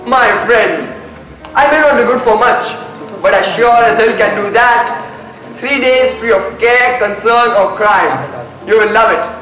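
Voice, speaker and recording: A good-humoured, chatty gentleman played by a young man talks theatrically on an amateur stage. The voice is high, echoing in a hall with faint music underneath. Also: unhurried. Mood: jovial. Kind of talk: amateur stage play acting.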